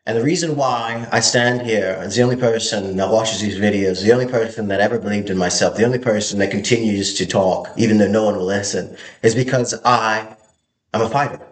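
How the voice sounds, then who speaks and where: A young man talks straight to camera in a low voice, earnest and intense. He stops and restarts, repeats words, and drives his points home. The room around him rings with echo. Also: a little fast. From Hong Kong. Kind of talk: motivational monologue.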